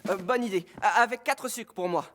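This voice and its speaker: A woman playing a clumsy young cartoon fireman with a greedy streak, who stammers and fumbles whenever he gets into trouble. His voice sits in a middling pitch, and loud music plays behind him. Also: a little fast, lightly acted. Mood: sheepish. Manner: nervous, fumbling, sheepish. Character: clumsy greedy young male trainee firefighter. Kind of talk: cartoon dub acting.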